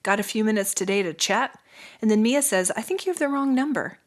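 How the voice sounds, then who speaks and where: A woman of middle pitch co-hosts a relaxed podcast with her sibling. She reads messages aloud in a light, giggly way and keeps the chat moving. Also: brisk. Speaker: podcast co-host. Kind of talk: casual sibling banter.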